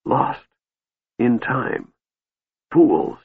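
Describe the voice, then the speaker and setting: A man voicing a dying young male mage in an audiobook, bitter and desperate, with a strained, gasping voice and halting, snarling delivery. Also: lowish voice, natural voice. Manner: halting fragments, snarling demands. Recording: muffled phone-like audio with room echo.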